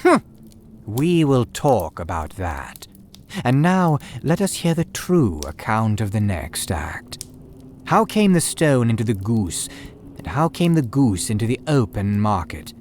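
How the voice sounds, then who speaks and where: A man voicing a calm, sharp-witted male detective who speaks in a measured, dry and commanding way. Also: medium pitch, a little hiss or hum.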